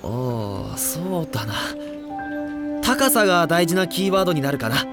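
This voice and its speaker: A man's voice: on the high side, big pitch swings, moderate pace, over music. A man playing a modest, earnest young student film director in an anime comedy drama, speaking self-effacingly. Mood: reflective.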